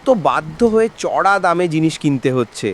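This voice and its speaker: A man's voice: fairly high pitch, lively intonation, a little fast, lightly acted. A man voicing a helpless, complaining village shopkeeper in a cartoon. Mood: resigned. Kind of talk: animated cartoon dialogue. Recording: faint background noise.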